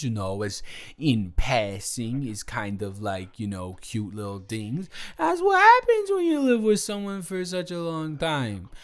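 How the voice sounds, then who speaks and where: Drunk male roommate, acted by a man, audio drama: defensive lovesick rambler, stammering, very animated pitch, unhurried delivery. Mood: defensive, flustered. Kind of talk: improvised roleplay audio drama.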